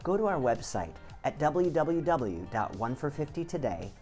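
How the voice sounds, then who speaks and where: A man presenting a scripted educational video in the first person, with an expressive, explanatory delivery. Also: on the high side, moderate pace. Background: background music.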